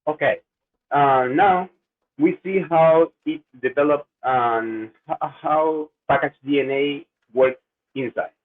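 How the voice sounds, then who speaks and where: Ecuadorian male researcher, brisk conference presenter, tinny phone-quality audio. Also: on the high side. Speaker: security researcher.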